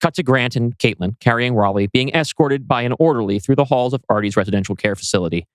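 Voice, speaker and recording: A man's voice: mid-range voice, fast, no room sound. A man narrating a screenplay, reading out scene directions as scripted narration.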